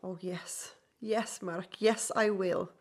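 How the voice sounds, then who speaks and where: An actress in a radio drama playing a gentle, devoted circus performer. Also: female voice, mid-range voice, fairly even intonation, slow. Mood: tender.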